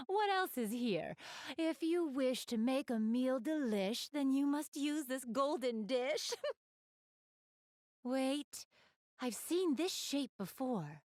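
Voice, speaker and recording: Casting: elegant young goddess for a video game, proper and gently teasing, fairly high voice, unhurried, dry studio sound. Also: female voice, animated, no room sound. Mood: cheerful, amused. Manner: polite, elegant, formal diction. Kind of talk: video game voice acting, speaking to the player.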